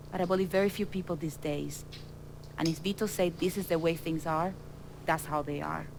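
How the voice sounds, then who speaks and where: A shrewd, wary smuggling boss in a crime game. Her voice is on the low side, firm and businesslike, with faint ambient noise around it. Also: vocal fry. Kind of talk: dramatic video game dialogue.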